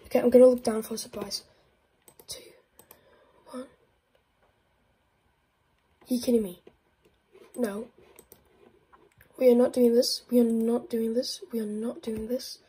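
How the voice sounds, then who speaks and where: A woman with a blocked nose and a croaky voice, giving excitable gameplay commentary with frequent whispered asides. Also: a little high, unhurried, long pauses. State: congested, croaky voice. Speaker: gaming YouTuber.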